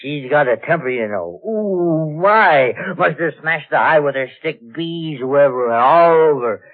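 An actor playing a drunk, aggrieved beekeeper who rambles and repeats himself in a 1940s radio drama. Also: male voice, on the high side, expressive, unhurried. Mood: tipsy, aggrieved. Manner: slurred, repetitive, rambling drunken talk. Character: tipsy, sacked male beekeeper, rambling and aggrieved. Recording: muffled, band-limited old radio recording.

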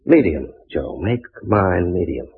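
An actor playing a husband in old-time radio drama style. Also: male voice, sits low, very animated, takes it slowly, vocal fry, exaggerated voice. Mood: coolly menacing. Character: husband swinging between amused teasing and menace. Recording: thin, phone-like old radio recording.

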